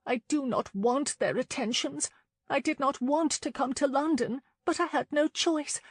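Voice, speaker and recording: A woman voicing a distressed young gentlewoman, proud and tearful, pleading and defiant by turns. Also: high-pitched, measured pace, no echo, studio quality, pitched above the performer's own voice.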